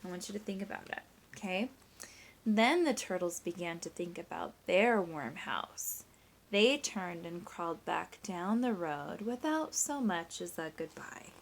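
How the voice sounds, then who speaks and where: A woman's voice: fairly low pitch, lively intonation, a little slow. A woman teacher reading a picture book expressively and walking young listeners through simple sums step by step, prompting them with questions. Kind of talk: expressive storybook read-aloud mixed with simple math teaching.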